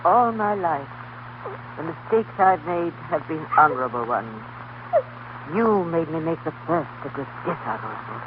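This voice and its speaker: A proud elderly grandmother in an old radio drama, speaking formally and with grave restraint. The recording is narrow and tinny, with clear background noise. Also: deep voice, lively intonation, moderate pace. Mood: grave, contrite. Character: stern, dignified elderly grandmother, proud but contrite. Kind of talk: 1930s radio drama acting. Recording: noisy, tinny 1930s radio broadcast.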